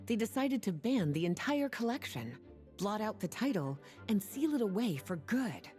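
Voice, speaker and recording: An actress playing a poised librarian in a fantasy game, with a mellow, relaxed tone and a lively, lilting delivery. She sounds knowing and gently teasing. Soft music plays under her. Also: medium pitch, normal speed, lightly acted. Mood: explanatory. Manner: languid, wry, gently teasing. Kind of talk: game voice acting.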